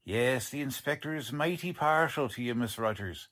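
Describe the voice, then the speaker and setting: An actor playing a plodding police sergeant who talks in folksy, ungrammatical street slang, in the style of a 1940s radio drama. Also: male voice, medium pitch, normal speed, fairly bright tone, natural voice. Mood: explaining. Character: blunt, plodding middle-aged male police sergeant.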